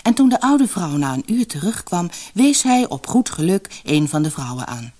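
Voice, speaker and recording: Low-pitched, animated woman storyteller. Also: moderate pace. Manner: expressive, dramatic storytelling. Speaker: audiobook narrator. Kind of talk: scripted fairy-tale narration.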